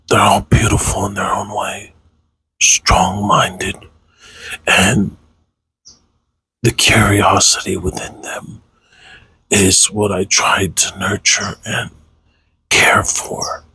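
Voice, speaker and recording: A man with a deep, forceful-edged voice that is strongly husky and breathy. He speaks in a halting, reflective way, as in a confessional vlog. His sentences come slowly and hesitantly. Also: monotone, rough recording. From United States, raised Tongan American. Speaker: content creator, father.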